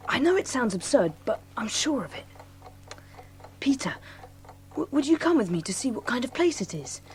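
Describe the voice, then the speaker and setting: A woman playing a bold, earnest schoolboy adventurer in a children's audio drama, brisk and full of old-fashioned slang. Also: fairly high pitch, sing-song, slight background noise. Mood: hushed, secretive. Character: bold, eager, adventurous schoolboy leading his friend.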